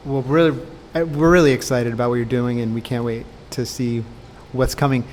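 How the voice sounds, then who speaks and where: A man of middle pitch asks questions in an easy, conversational way, keeping things friendly with short words of agreement. The room around him has a long reverb tail. A faint noise floor runs underneath. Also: brisk. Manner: relaxed, affirming with short agreements. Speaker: interviewer for an art magazine. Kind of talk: casual interview chat.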